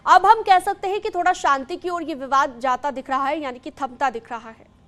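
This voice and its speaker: A woman's voice: high voice, normal speed, fairly bright tone, a little hiss or hum. A woman presenting live television news as an anchor, in an emphatic, repetitive manner.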